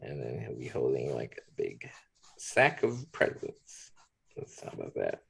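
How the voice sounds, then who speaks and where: A man leading a drawing demonstration, talking in a relaxed way as he draws. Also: extremely low pitch, unhurried, vocal fry, breathy edge, rough recording. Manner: relaxed, talks while drawing. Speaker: art instructor. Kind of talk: drawing demonstration, thinking aloud.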